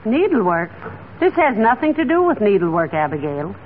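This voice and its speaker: An actress playing a good-humoured, sensible wife in a 1940s radio comedy, gently chiding, on a tinny recording. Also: female voice, mid-range voice, very animated, normal speed. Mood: amused, chiding. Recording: tinny, hissy radio recording, live audience.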